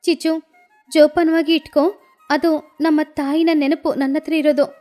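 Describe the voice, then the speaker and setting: A woman's voice: sits high, flat delivery, normal speed, mellow, faint music behind, close to an everyday voice. A woman voicing a worried, pleading female sparrow villager in a children's cartoon story. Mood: anxious.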